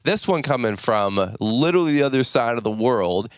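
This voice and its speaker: Chatty American man, smooth dry voice. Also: mid-range voice, animated, normal speed, no room sound. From United States. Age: 30s. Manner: chatty, rambling, folksy. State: voice a bit raspy from just waking up. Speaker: podcast host. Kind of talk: casual interview chat.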